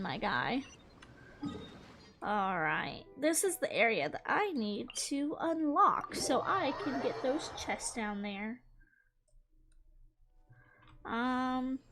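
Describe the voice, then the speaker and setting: High-pitched, chatty woman commenting on gameplay. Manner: chatty, slangy, talks back to the game. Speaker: gaming streamer. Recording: game music behind voice. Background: video game music.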